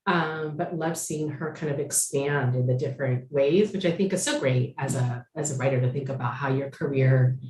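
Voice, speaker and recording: A woman's voice: rumbling low, moderate pace, hardly any pauses, a little mellow. A woman in her forties, a novelist, chatting casually about her writing with many fillers and restarts. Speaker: novelist guest. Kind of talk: casual conversation. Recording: roomy echo.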